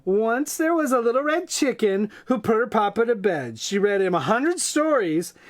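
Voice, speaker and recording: A man reading children's stories aloud in a playful, folksy, expressive way, with casual asides. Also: high-pitched, moderate pace. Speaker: storytime reader.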